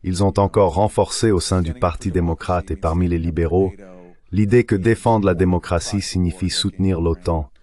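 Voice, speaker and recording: A man's voice: low-pitched, steady tone, normal speed, darkish tone. A man speaking as a panelist, giving political commentary in a translated voice-over.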